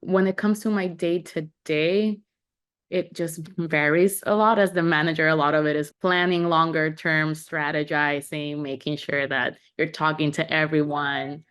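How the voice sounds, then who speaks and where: A woman's voice: low voice, little pitch movement, unhurried, fairly bright tone, smooth. A young woman from Puerto Rico, a podcast guest who talks in an upbeat way and laughs often. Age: young adult. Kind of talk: podcast interview.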